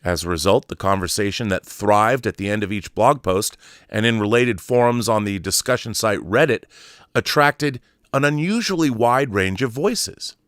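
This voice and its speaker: A man's voice: fairly low pitch, expressive, normal speed, a bit bright, no echo. Man reading aloud, dry close sound. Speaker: YouTube host. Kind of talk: reading an article aloud with brief asides.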